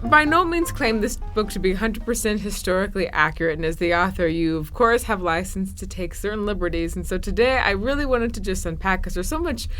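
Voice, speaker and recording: A woman's voice: mid-range voice, animated, a little fast, few pauses, a bit bright, faint music behind. A woman hosting a podcast, moving between scripted introduction and casual interview questions. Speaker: podcast host interviewing an author.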